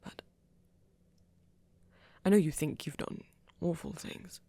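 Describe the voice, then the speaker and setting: A performer playing a flirty, earnest vampire in an ASMR romance roleplay, speaking haltingly and intimately in a husky, often half-whispered voice. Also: mid-range voice, fairly even intonation, dark tone, full character voice. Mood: tender, reassuring. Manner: hesitant, halting, breathy and intimate. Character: flirty, earnest vampire confessing love, soft-spoken.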